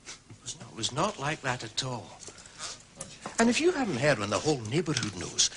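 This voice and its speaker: Stern cop sergeant, insistent, suspicious, pitch all over, radio drama, noisy background. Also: male voice, wildly swooping pitch, normal speed. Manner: insistent, formal questioning. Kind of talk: British radio drama acting.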